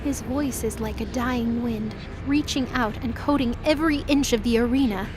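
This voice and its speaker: A woman's voice: a little high, normal speed, background noise. A woman reading scripted, dramatic story narration for an audio drama.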